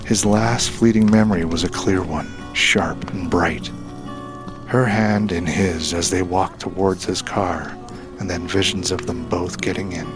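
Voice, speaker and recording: Low deep guy reading a dramatic story, measured and solemn, music playing behind him. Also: steady tone. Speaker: narrator. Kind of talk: dramatic scripted audio drama narration. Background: background music.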